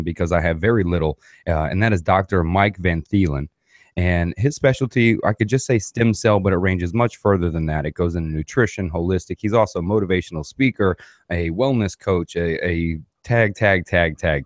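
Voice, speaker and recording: Low-voiced rambling podcast host man. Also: no echo, clean studio sound. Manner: rambling, says "man" a lot. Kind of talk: casual interview.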